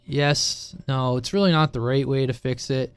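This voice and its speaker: A man's voice: mid-range voice, steady tone, normal speed, clean tone, no room sound. A man giving a casual, unscripted technical explanation in an informal, rambling way, circling back to repeat his main point. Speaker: presenter explaining radio technology. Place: indoors at a computer, sharing his screen.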